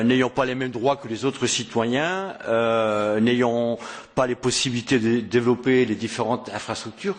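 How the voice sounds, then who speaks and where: A man hosting a press conference, speaking in a halting way with many fillers and repeated words. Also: medium pitch. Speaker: press conference host, member of parliament. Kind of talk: hesitant spoken welcome speech. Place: press room.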